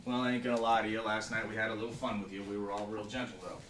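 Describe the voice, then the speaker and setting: A man's voice: lowish voice, little pitch movement, racing, lightly acted. A man voicing a folksy, lecherous, menacing backwoods gang leader in a script table read. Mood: oily, coaxing. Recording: echoey room, distant mic, noise.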